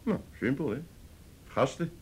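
A man acting in a radio play as a bigoted, belligerent younger brother, speaking in a halting, goading way. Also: medium pitch, expressive, darkish tone, husky. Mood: heated, bitter. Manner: halting, goading, full of tag questions. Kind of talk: naturalistic Dutch radio play dialogue.

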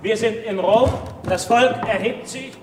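An actor in a radio drama playing an excited male orator proclaiming a Roman uprising in declamatory stage speech. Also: sits high, unhurried, a crowd in the background. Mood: excited, insistent. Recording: echoey, noisy, distant from mic.

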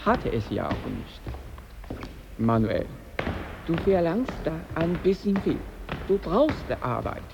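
Earnest male friend in a radio play, reproachful, pitch moves a lot, muffled sound. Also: sing-song, slow pace, vocal fry, long pauses, over music, full character voice. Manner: insistent, reasoning. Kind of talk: radio drama dialogue.

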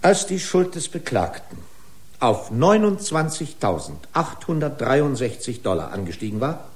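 An actor playing a pedantic, accusing corporate lawyer in a German radio drama, speaking in formal, pompous legal style. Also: male voice, a little high.

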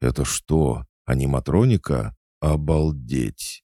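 A man voicing an excitable, eager geek full of boyish delight in a storybook narration. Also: rumbling low, normal speed, dry recording, studio quality, pitched above the performer's own voice. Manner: gushing, eager.

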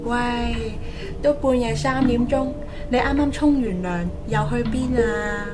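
Woman acting naggy bossy hong kong cantonese wife, high voice, radio drama, music behind. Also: slow. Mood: questioning. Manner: scolding, exasperated. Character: bossy, nagging, superstitious wife of a taxi-style everyman.

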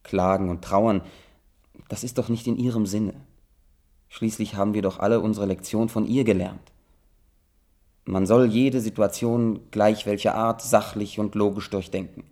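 An actor in a radio drama playing an earnest, rationalizing friend, a husky-voiced man who soothes yet insists. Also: on the low side, fairly even intonation, moderate pace, dark tone, close to an everyday voice. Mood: insistent, reasoning. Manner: insistent, persuading.

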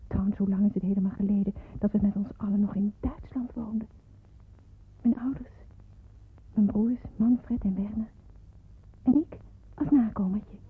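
A woman's voice: mid-range voice, close to an everyday voice. Actress as a young woman in hiding, tinny recording. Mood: wistful. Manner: dramatic, intimate monologue. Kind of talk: radio play acting, first-person narration. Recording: tinny, narrowband old radio recording, faint noise.

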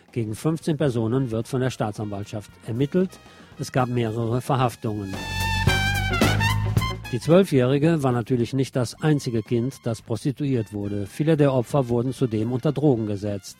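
Bright-voiced man reading radio news. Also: medium pitch, normal speed, faint music behind. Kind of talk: scripted news reading with pointed commentary. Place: radio studio.

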